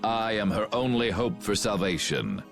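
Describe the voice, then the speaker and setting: A man's voice: fairly low pitch, big pitch swings, moderate pace, vocal fry. An actor playing a cold, scheming commander who mocks his captives in a game cutscene. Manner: cold, gloating, mocking laughter. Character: scheming ruthless male commander, middle-aged. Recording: game audio with music behind.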